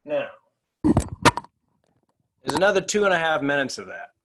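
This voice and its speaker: Animated, high-voiced male workshop presenter. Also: takes it slowly, background noise. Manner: animated and conversational, asks the audience "right?". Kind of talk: energetic professional development talk.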